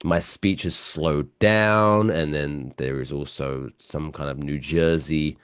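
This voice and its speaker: A man with a British accent, softened by years in America, telling stories as a podcast co-host while stuffy with a cold. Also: extremely low pitch, slow, smooth, no echo, studio quality. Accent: British English softened by years in the US. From England. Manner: chatty, self-mocking storyteller. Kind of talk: casual podcast chat.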